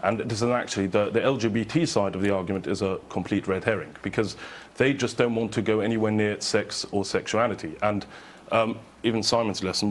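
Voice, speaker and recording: A man arguing his case as a head teacher in a studio debate, measured, explanatory and firm. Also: fairly low pitch, a little hiss or hum.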